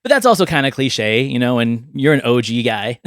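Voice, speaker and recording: A man's voice: medium pitch, very animated, brisk, fairly bright tone, no room sound. Chatty man hosting a podcast, dry close sound. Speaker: podcast host. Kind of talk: casual podcast conversation.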